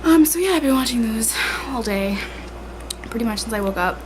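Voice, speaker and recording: A woman's voice: on the high side, lively intonation, fairly quick, background noise. A young woman with a congested, tired voice chats casually at home, full of fillers like "like" and "um". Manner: chatty, filler-heavy, self-deprecating. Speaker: vlogger. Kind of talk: casual rambling vlog. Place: at home indoors. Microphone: handheld camera at arm's length.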